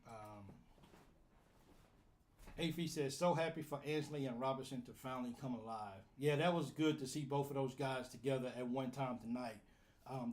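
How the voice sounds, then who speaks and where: A man's voice: mid-range voice, a little mellow. A man hosting a live fan call-in show, casual and flustered while fixing his audio setup. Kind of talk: casual live-stream chat.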